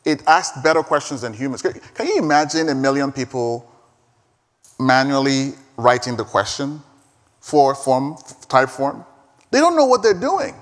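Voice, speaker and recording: A man whose first language is Igbo, giving an energetic, emphatic conference talk and taking questions, often repeating words as he pushes a point. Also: a little high. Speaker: conference speaker. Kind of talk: live keynote talk and Q&A. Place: large conference hall. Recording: reverberant hall.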